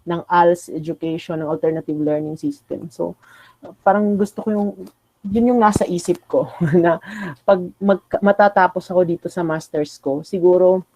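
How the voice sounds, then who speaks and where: Soft, hesitant Filipino man. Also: sits high, steady tone, normal speed, dry recording, poor audio. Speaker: graduate student and teacher. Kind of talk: class discussion.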